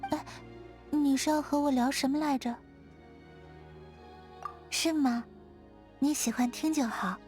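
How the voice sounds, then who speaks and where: A dreamy young moon maiden from a video game, pitched fairly high. She is quiet and aloof, talking gently and without hurry, with music playing behind her. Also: steady tone, a little slow, pauses often. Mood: calm, detached. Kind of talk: scripted video game character dialogue. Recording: studio voice over background music.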